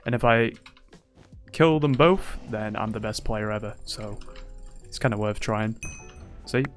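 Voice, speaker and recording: A man's voice: fairly low pitch, measured pace. Young man, gaming commentary, playful teasing, slightly worn voice, faint music behind. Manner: playful, teasing, boastful banter. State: slightly losing his voice. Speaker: gaming YouTuber. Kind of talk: casual gameplay commentary.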